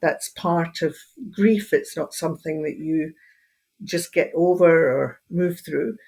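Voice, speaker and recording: An older woman from Edinburgh, Scotland, whose Scottish accent has taken on an Australian edge, speaking calmly and with pauses as an interview guest. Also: deep voice, breathy edge. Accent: Scottish, Edinburgh, mixed with Australian. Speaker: guest, hypnotherapist and end-of-life doula. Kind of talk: casual interview conversation.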